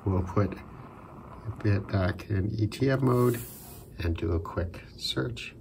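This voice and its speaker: Low, gravelly man. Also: slow, a little mellow, slight background noise. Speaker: shortwave radio hobbyist presenting a demonstration. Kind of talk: casual unscripted demonstration commentary. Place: indoors in a concrete block building.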